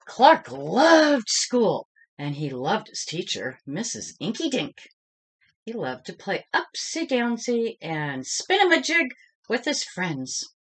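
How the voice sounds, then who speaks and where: A woman narrates a picture book for young children. Her reading is slow and dramatic, with extremely animated pitch and a slightly husky tone. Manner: dramatic, addresses young listeners with questions. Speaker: storybook reader. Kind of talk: expressive children's read-aloud narration.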